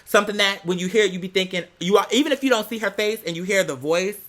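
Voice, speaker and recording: A man's voice: high voice, fast, a bit bright. An American man giving a casual, opinionated monologue in a sassy, emphatic, slangy manner. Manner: sassy, slangy, emphatic, peppered with "girl" and "bitch". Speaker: online commentator.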